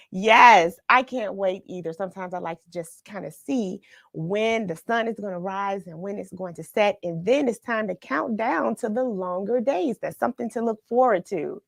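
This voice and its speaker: A middle-aged American woman hosting a children's read-along livestream, chatting in an encouraging, unhurried way straight to her viewers. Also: fairly high pitch, fairly bright tone, no echo, studio quality. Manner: encouraging, unhurried, addresses listeners directly. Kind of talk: chatty livestream to viewers, greeting them by name.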